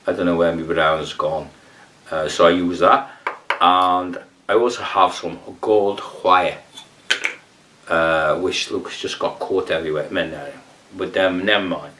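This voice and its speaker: A man's voice: low voice, fairly bright tone, distant mic, full character voice. A man playing a rambling Brazilian amateur fly tier in a comic tutorial, with a put-on Brazilian accent, broken English and muttered whispered asides. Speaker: fly tying demonstrator.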